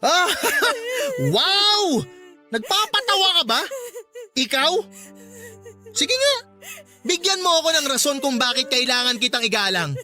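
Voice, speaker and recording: An actor with a Filipino accent playing a belligerent, abusive husband and father who sneers and shouts in a radio drama. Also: very high-pitched, big pitch swings, measured pace, pauses often, over music, heavily acted. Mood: mocking, angry.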